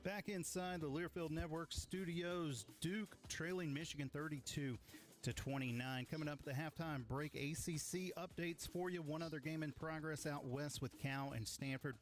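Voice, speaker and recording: Higher-pitched male sports studio host. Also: fairly even intonation, moderate pace. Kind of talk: scripted sports radio update. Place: broadcast studio. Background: faint music.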